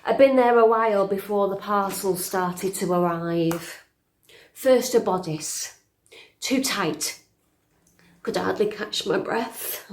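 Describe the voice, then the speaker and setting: In a roomy, echoing space, a woman acts a middle-aged fairytale princess looking back on her life. She talks slowly and confidingly, with dry, understated wit and a weary edge, as in an intimate stage monologue. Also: mid-range voice. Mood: dry, reflective. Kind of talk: dramatic monologue, conversational.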